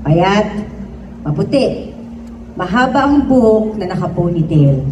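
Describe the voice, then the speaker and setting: A woman giving a serious, measured dramatic reading of a story at a staged event. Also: mid-range voice. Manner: measured, serious, deliberate phrasing. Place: event room before an audience. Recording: echoey room, distant mic, clear noise.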